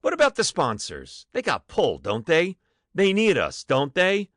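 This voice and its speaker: A man playing an anxious, excitable TV show staffer in a dramatic audiobook, fretful and panicky. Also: fairly high pitch, normal speed, no echo, studio quality. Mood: anxious, pleading.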